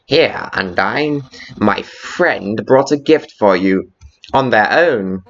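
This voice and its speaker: A man's voice: on the low side, expressive, slow pace, a bit bright, full character voice. A man voicing a cheerful, pompous, childlike skeleton in a video game fan dub, with a theatrical, eager manner. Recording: muffled lo-fi audio with background music.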